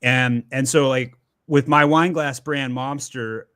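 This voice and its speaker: A man's voice: medium pitch, steady tone, slow pace, a bit bright, smooth, no room sound, studio quality. A man hosting a live Q&A, chatty and jokey, who goes off on tangents and laughs easily. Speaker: host.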